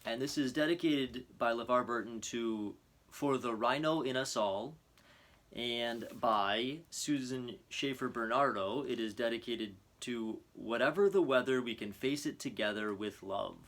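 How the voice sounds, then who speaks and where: A man's voice: medium pitch, measured pace, a bit bright. Earnest man reading for children. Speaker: storybook reader. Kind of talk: expressive children's story narration and introduction.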